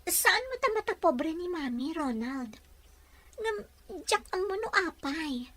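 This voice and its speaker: A Filipino woman playing an earnest young daughter in love who defies her mother in an Ilocano radio drama, expressive and plaintive. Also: very high voice, measured pace, heavily acted. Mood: indignant, loving. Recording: faint background noise.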